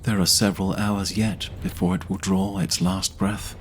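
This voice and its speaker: A man's voice: deep voice, little pitch movement, moderate pace, slight background noise. A man narrating a scripted fantasy audio drama in a solemn storytelling manner.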